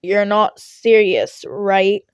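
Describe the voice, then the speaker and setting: A woman voicing a tired, grumpy male teacher with a deadpan delivery in a fan fiction reading. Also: medium pitch, drawn-out words, smooth, no echo, studio quality. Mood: flat disbelief.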